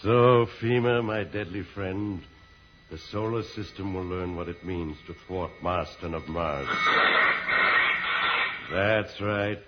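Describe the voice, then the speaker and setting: A man playing an evil, gloating tyrant of Mars with a slow, menacing delivery in an old-time radio serial. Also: low-pitched, very noisy. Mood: gloating menace. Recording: dull vintage radio recording, loud music.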